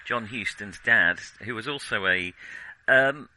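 A man's voice: fairly low pitch, a little slow, sharp tone, a little hiss or hum, rough recording. A man hosting a film podcast in casual conversation, with a wry, hesitant delivery. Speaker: podcast host.

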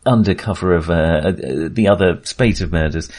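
Low-voiced man in casual film discussion. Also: normal speed, a little mellow. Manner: hesitant, circling back to rephrase. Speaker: film podcast host.

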